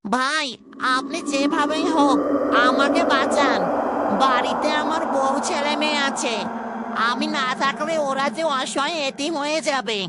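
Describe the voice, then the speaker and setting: A woman's voice: high-pitched, steady tone, a little slow, very noisy. A woman voicing a cowardly, sly, skinny underling in a fairytale cartoon, whining and fearful. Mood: pleading.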